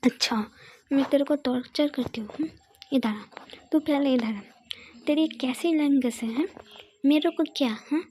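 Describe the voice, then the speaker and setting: A woman's voice: sits high, normal speed, long pauses, mellow, slight background noise, lightly acted. Woman doing a stern scolding sister-in-law.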